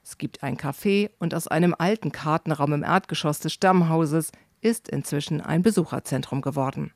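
A man with a slightly higher voice than most reads travel narration in a measured, descriptive way. The sound is dry and close, with no room around it. He keeps an even, scripted reporter's pace. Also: dry recording.

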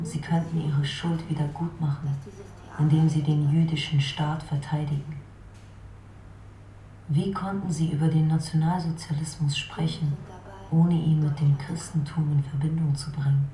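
A woman performing a scripted radio play monologue with measured, deliberate delivery. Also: low voice, mellow. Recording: roomy echo, music underneath. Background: background music.

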